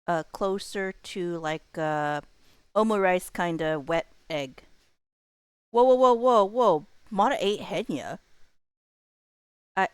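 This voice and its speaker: Lively, casual woman streamer, unhurried and close. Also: mid-range voice, smooth, dry recording. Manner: playful, expressive. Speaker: livestreamer. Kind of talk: casual livestream chat with viewers.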